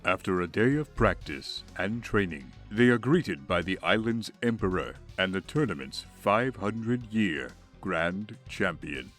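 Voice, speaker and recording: A man's voice: low voice, lively intonation, a little slow, hardly any pauses, fairly bright tone, faint music behind. A man giving dramatic scripted narration for a comic dub.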